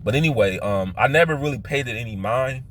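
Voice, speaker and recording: A man with a Philadelphia accent telling personal stories casually, halting and often circling back to repeat himself. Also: mid-range voice, animated, slight background noise. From Philadelphia, United States. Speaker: vlogger telling his life story. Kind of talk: casual rambling personal storytelling.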